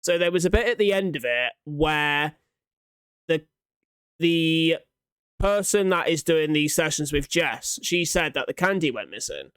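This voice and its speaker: Higher-pitched young man reacting casually. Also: expressive, measured pace, fairly bright tone, smooth, no echo. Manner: informal, sweary, thinking aloud. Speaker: reaction video host.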